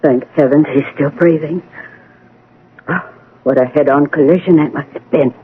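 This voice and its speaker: A woman's voice: low voice, moderate pace, natural voice. An actress playing a devoted, anxious female nurse in a radio drama, speaking in an earnest, tender way. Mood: worried. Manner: earnest, pleading delivery. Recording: muffled old radio broadcast, faint noise.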